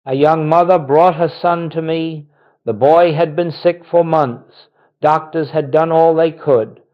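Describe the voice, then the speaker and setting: A man with a fairly high voice narrates as a spiritual teacher. He speaks solemnly and gently, setting out short, deliberate fragments in a scripted sermon style. The recording is slightly dull and lo-fi. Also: steady tone.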